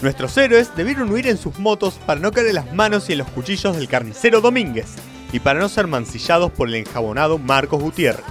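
An Argentine man narrates a radio comedy with mock solemnity. His fairly high voice swings widely in pitch, theatrical throughout, with music underneath. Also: big pitch swings, fairly quick. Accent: Argentine, Rioplatense. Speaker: narrator and sketch performer.

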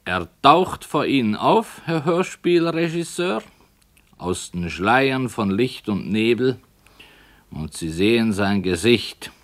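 An actor playing a stubborn, calm radio playwright who narrates his dark story with deliberate literary phrasing in a 1960s German radio drama. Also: medium pitch. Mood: measured. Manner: measured, deliberate.

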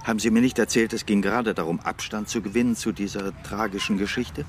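An actor playing a self-assured male investigator in a radio crime drama, direct, inquisitive and deliberate. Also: on the low side, steady tone, noisy background, lightly acted. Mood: probing, assured.

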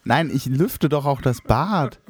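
A man's voice: mid-range voice, animated, slow, a crowd in the background. A man co-hosting a podcast, chatting in laid-back playful banter and laughing often. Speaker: podcast co-host. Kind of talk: casual chat.

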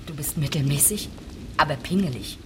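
Cold scornful judge, radio drama, really deep female voice, some rain and thunder behind. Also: animated, normal speed, background noise. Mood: bitter, scornful. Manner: icy, contemptuous, restrained. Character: cold, scornful middle-aged female judge, estranged wife.

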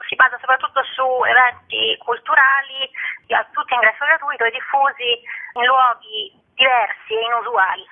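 A woman explaining things as an interview guest, with hesitant pauses mid-phrase. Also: fairly high pitch. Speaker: festival organiser, interview guest. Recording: tinny, narrow telephone line.

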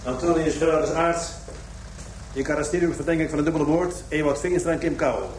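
A man's voice: on the high side, steady tone, quick pace, natural voice. Tense police adjutant, acted by a man, roomy and distant. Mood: stern, formal. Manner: clipped radio calls and barked orders. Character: tense male police adjutant commanding an arrest team. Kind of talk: Dutch radio crime drama acting. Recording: roomy, distant, noisy garage scene.

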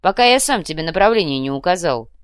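A wry, canny dealer in stolen goods read by a woman in a storybook style. He needles dryly and keeps an eye on the money, with lively intonation and a close, dry sound. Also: fairly low pitch, quick pace, smooth, no room sound, lightly acted. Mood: calm, wry. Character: shrewd, wry male trader and fence, money-minded. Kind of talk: audiobook character voice.

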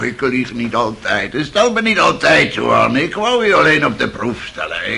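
A man's voice: mid-range voice, sharp tone, slight background noise, heavily acted. An actor playing a wheedling, buffoonish old father in a radio play, theatrical and fawning in manner. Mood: mock-humble. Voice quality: gravelly, creaky. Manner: theatrical, fawning, exclaiming mock-humility.

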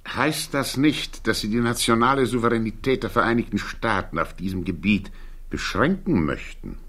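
Give the voice of a challenging attorney in a 1960s German radio drama. The man has a fairly low voice with lively, swinging intonation. He sounds formal and pointed as he questions. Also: normal speed. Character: challenging, pointed male attorney at a security hearing. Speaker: actor.

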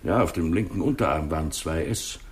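A man's voice: rumbling low, fairly quick. An actor playing an eager, dutiful small-town policeman, plain-spoken, in a 1960s German radio drama. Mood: animated recounting. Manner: plain-spoken, keen to tell.

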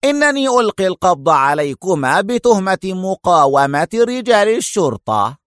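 Casting: sarcastic, short-tempered male police inspector voiced by a male reader, higher register, incredulous and biting, audiobook drama, close dry sound. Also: big pitch swings, moderate pace, no room sound. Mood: stern. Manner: mocking, sharp, incredulous.

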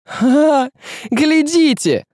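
A man's voice: extremely high pitch, big pitch swings, very slow, a little mellow, no room sound, clean studio sound, voice pushed up, heavily acted. A man voicing a bitter, half-mad, grieving tsaritsa in a storybook, hissing and shrieking. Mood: hysterical, bitter.

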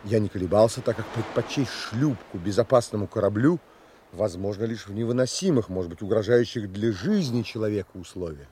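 A man's voice: on the low side, animated, a little fast, mellow, slight background noise, lightly acted. An actor playing a proud, indignant castaway who narrates his sea adventure in an audio drama, dramatic and literary. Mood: tense narration.

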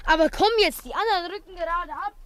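A woman's voice: extremely high pitch, quick pace. A woman playing a brash, good-hearted schoolboy. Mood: encouraging. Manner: blunt, cheerful, encouraging. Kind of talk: 1950s radio play acting. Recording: dry studio recording.

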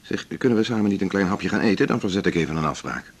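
An actor playing a reflective, principled male doctor in a naturalistic radio drama, speaking in a measured, world-weary way. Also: sits low, animated, breathy edge, heavily acted. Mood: resigned, sympathetic.

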